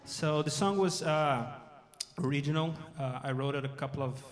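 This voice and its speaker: Soft-spoken man on stage. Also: on the high side. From Brazil. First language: Portuguese. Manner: soft-spoken, hesitant, rushed asides. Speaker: singer-songwriter. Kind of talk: stage talk between songs. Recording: reverberant live hall with music.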